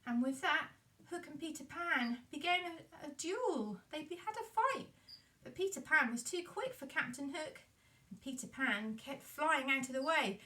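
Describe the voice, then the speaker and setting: A woman telling a children's puppet story. Her voice is high and bright, with animated delivery, recorded at some distance from the microphone. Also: normal speed.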